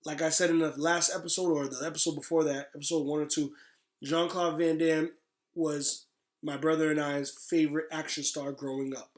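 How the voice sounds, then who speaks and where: A man co-hosting a podcast, chatting casually in an emphatic, slangy, opinionated way like an excited fan. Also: a little high, normal speed, roomy sound. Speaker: podcast co-host. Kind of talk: casual chat about games and movies.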